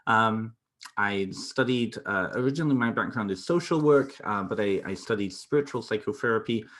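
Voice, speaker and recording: A man's voice: on the low side, normal speed, fairly bright tone. A young British man from North Wales, a counsellor giving an online presentation, talking with many fillers and restarts. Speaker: counsellor presenting a folklore talk. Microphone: computer mic on a video call.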